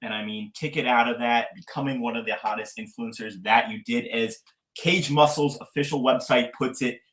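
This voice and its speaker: A man's voice: mid-range voice, steady tone, normal speed, a bit bright, roomy sound. A young man hosting a podcast, asking questions eagerly with hype-style introductions. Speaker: podcast host. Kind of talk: casual interview talk.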